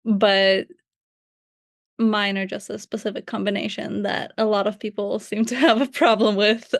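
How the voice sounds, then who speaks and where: A woman's voice: medium pitch, level tone, normal speed, gravelly, no room sound, studio quality. A young woman, a non-native English speaker with a light Slavic accent, talking reflectively as a guest researcher and hedging her points. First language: not English. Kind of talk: casual conversation.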